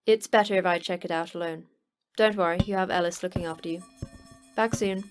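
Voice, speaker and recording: An actress playing a terse, unflappable manager of a secret facility in an audio drama, with a brisk, dry delivery. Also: female voice, fairly low pitch, fairly even intonation, music in the background, close to an everyday voice. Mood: brisk, resigned.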